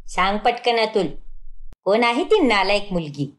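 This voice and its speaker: A woman's voice: mid-range voice, big pitch swings, measured pace. A woman voicing a bossy, proud middle-aged mother-in-law in a cartoon moral story, speaking emphatically. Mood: scolding, indignant. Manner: emphatic, scolding and praising in turns.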